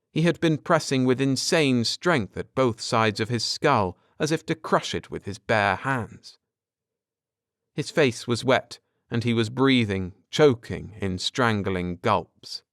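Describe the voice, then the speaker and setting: A man narrating a science fiction story as an audiobook, with theatrical, deliberate phrasing and dramatic emphasis. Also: mid-range voice, a bit bright, clear, resonant tone, dry recording, clean studio sound.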